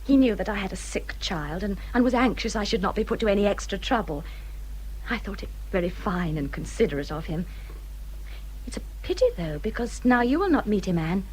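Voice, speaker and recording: An English actress playing a self-important, fretful young married gentlewoman in a period radio drama, plaintive and gushing. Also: mid-range voice, animated, fairly quick, a little hiss or hum, lightly acted, rough recording. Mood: smug, snobbish.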